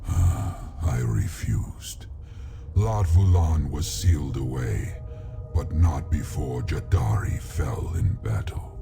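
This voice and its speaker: Ancient dragon, very deep and gravelly. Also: male voice, lively intonation, over music, full character voice. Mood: regretful, grave. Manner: slow, portentous, archaic phrasing. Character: ancient weary male dragon, solemn and grave. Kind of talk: fantasy video game acting.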